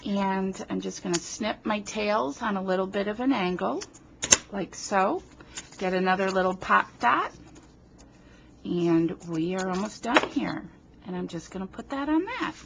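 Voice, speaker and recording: A woman's voice: medium pitch, gravelly, pushed and bright, a little hiss or hum. A woman from New Jersey hosting a casual card-making tutorial, chatting and joking about herself while she works, sniffling a little. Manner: chatty, self-deprecating, talks while working. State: sniffling from a runny nose. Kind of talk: casual craft demonstration. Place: home craft table, indoors. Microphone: camera on tripod above the table.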